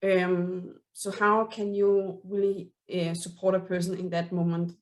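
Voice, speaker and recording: A woman's voice: lowish voice, flat delivery, unhurried. A woman from Denmark with a Danish accent, speaking English as a second language, hosting an interview and often searching for words. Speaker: interview host. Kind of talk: casual interview.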